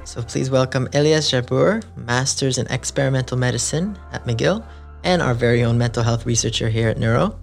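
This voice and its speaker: A chatty, upbeat man co-hosts a casual podcast, peppering his talk with "you know" and "like". His voice sits in an average pitch range, and a faint bed of music runs underneath. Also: fairly quick, vocal fry. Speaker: podcast co-host.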